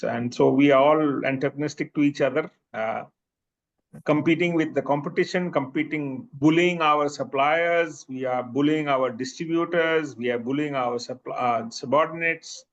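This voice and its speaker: A man's voice: on the high side, normal speed, a bit bright. Indian man, professor answering questions. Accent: Indian English. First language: Bhojpuri. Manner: thoughtful, many fillers and restarts. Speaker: presenting professor. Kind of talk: academic Q&A answer. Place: seminar room. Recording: slightly muffled recording.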